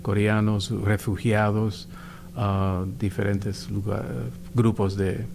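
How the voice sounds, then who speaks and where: An older man, a pastor, answering interview questions slowly with many fillers and restarts. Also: on the low side, flat delivery, creaky, noisy background. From United States. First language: Spanish heritage, raised in New York. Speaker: pastor, church official.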